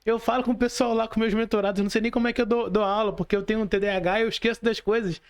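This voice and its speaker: A woman's voice: on the high side, fairly even intonation, very fast. A Brazilian woman hosting a podcast, chatting casually and motivationally, repeating phrases and putting rhetorical questions to listeners. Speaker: podcast host, mentor.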